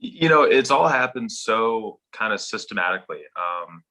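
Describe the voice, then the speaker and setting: Forceful, deep-voiced man with a faint Minnesotan accent. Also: expressive, moderate pace, poor audio. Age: 30s. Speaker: golf professional guest. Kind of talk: casual interview.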